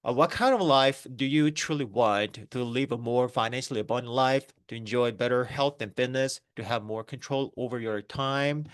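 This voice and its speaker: Korean-accented man, 30s, mid-pitched, halting presenter, dry close mic. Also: smooth, no room sound. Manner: halting, many fillers and restarts. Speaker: network marketing presenter. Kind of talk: online business presentation.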